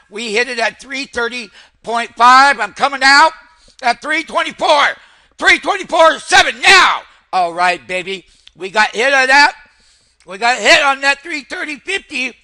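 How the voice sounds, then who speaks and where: A man giving excitable, hype-driven live trading commentary as a host, repeating phrases and addressing his viewers like friends. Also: extremely high pitch. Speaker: stock trader and host.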